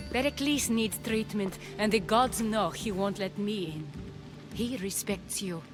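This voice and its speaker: A woman's voice: medium pitch, slow, close to an everyday voice. An actress playing an eloquent, distressed noblewoman of ancient Greece in a video game, speaking with dramatic urgency. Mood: urgent, worried. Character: anxious, eloquent Greek noblewoman, commanding yet distressed. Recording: music behind the voice.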